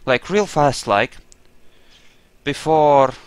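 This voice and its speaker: Guy playing a video game and talking thru it, takes his time, clean smooth voice, swears casually. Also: a little high, animated, extremely slow, no room sound. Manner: offhand, sweary, thinking aloud. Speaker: gamer narrating his own playthrough.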